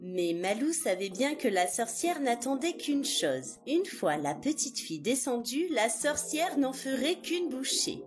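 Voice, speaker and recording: Animated high-voiced woman storyteller. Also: measured pace, hardly any pauses. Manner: expressive, dramatic storytelling. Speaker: children's storyteller. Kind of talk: scripted fairy-tale narration. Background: music behind the voice.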